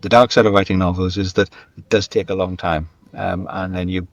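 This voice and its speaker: A man with a low voice answers interview questions conversationally. He circles back and repeats words as he works out his thoughts. The recording is dry and a little dull. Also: level tone, a little fast, no room sound. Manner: hesitant, repeats words as he thinks aloud. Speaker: guest, screenwriter and novelist.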